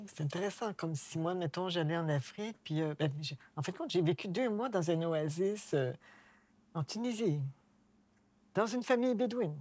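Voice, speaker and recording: A woman's voice: on the low side, normal speed, a little mellow. A woman with a Québécois accent telling personal stories in an earnest, emphatic way. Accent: Québécois French. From Quebec. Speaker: discussion participant. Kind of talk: personal storytelling.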